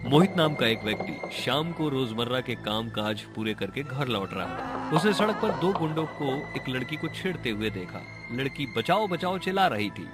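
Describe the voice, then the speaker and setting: Male horror storyteller over clear music. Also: mid-range voice, very animated, moderate pace. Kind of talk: scripted Hindi cartoon horror narration. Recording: music behind the voice.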